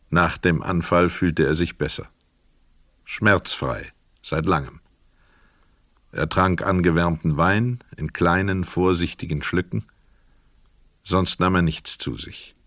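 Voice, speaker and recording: A man narrating a literary crime radio play as a sober storyteller, measured, precise and dramatic. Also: low voice, a bit bright, no room sound, clean studio sound.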